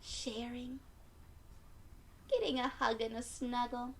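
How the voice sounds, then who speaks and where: A woman reading a picture book aloud to young children in an encouraging, expressive way, often putting questions to her listeners. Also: a little high, slow. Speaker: children's read-aloud storyteller.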